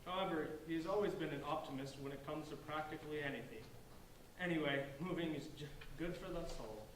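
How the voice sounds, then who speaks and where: A man's voice: a little high, a little fast, close to an everyday voice. A man playing a polite, bookish fifteen-year-old outsider with an earnest, wordy manner in a staged play reading. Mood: reflective. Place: large echoey indoor room, distant microphone. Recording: echoey room from far off, faint noise.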